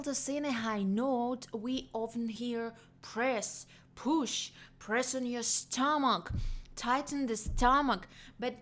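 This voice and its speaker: Slow-talking woman vocal coach with a non-native accent. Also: fairly high pitch, fairly bright tone. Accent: non-native English. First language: not English. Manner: chatty, emphatic, repeats key words, laughs between points. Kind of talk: casual video lesson.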